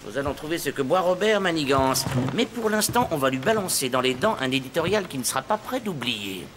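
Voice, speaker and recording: A lively, fatherly newspaper chief. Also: on the high side, fairly quick, background noise. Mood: combative. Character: feisty, fatherly male newspaper director. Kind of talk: French cartoon voice acting.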